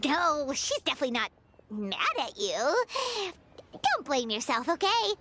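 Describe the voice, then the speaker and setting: Very high, squeaky childlike fairy sidekick. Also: female voice, a little slow, heavy background noise, full character voice. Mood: reassuring. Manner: speaks of herself in third person, excitable. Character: small, chirpy, eager childlike female fairy guide companion. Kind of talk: video game voice acting. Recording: music playing behind the voice.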